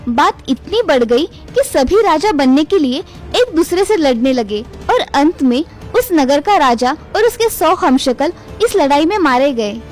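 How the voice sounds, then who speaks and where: Female storyteller, notably high pitch, scripted children's tale narration, light music behind.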